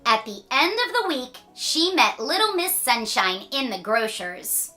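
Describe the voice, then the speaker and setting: A woman reading a children's story aloud with playful asides and dramatic, drawn-out emphasis. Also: high-pitched, big pitch swings, sharp tone, faint music behind. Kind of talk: animated read-aloud storytelling.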